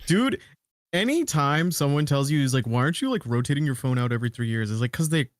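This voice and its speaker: A man's voice: medium pitch, lively intonation, fast talker, no room sound, clean studio sound. A man chatting casually as a podcast co-host, joking in a wry, slangy way with some swearing.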